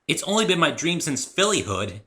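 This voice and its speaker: A brash young female cartoon pegasus athlete, as acted out by a man, confident and boastful. The delivery is eager and forceful, raised in pitch and very lively. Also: fairly quick. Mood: zealous, dreamy.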